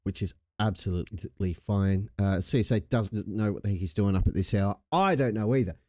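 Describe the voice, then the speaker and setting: A man's voice: on the low side, a little fast, warm tone, dry recording, studio quality. An Australian man hosting a casual livestream, laid-back, jokey and sweary, getting over a chest infection. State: recovering from a chest infection with a lingering cough. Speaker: livestream host.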